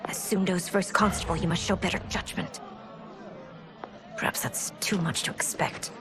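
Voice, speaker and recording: Actress as an imperious, clipped young princess. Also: female voice, on the low side, steady tone, normal speed, over music, lightly acted. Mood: stern, scolding. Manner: formal, clipped, commanding. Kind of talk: video game cutscene acting.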